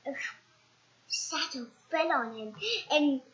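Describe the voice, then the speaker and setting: High-pitched child reading a story haltingly. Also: a bit bright. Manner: halting, stumbling reading with self-corrections. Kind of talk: read-aloud storytelling of a picture book. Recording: roomy echo, dull lo-fi sound.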